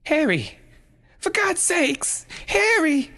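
A man voicing a frantic young mother who stammers and pleads in a high, strained voice. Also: very animated, measured pace, husky, heavily acted.

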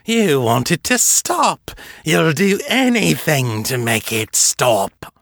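A man's voice: on the high side, sing-song, takes it slowly, creaky, fairly bright tone, no room sound, voice pushed up, full character voice. A man voicing a gleeful, sadistic male vampire who taunts in a sing-song, cooing way. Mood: gloating.

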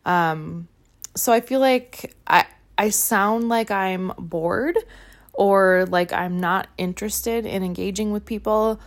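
A woman speaking calmly and evenly into her phone, reflective and trailing off at times. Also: medium pitch, takes it slowly. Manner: calm, even-keeled, trails off. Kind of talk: reflective personal monologue.

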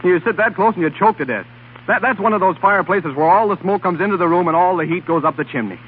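A man's voice: high-pitched, expressive, quick pace, slight background noise. An American man playing an impulsive, lovesick young husband in a 1930s radio drama, urgent and stammering when flustered. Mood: sly discouraging. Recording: muffled narrowband 1930s radio recording, background sound.

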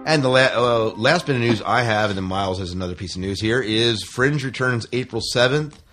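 A man's voice: on the low side, fairly quick, a bit bright, soft background music. A man co-hosting a podcast, talking casually through entertainment news in a chatty, opinionated way. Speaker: podcast co-host.

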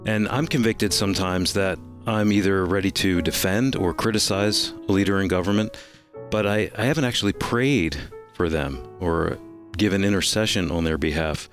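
A man's voice: sits low, moderate pace, faint music behind. A man speaking as a co-host in a reflective discussion of faith.

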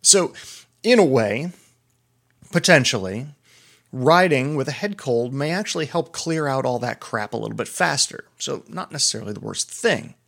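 A man's voice: mid-range voice, animated, normal speed, creaky, fairly bright tone. A middle-aged man, a doctor, hosting a casual solo podcast, chatty and prone to digressions. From Pennsylvania. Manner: chatty, digressive, self-deprecating.

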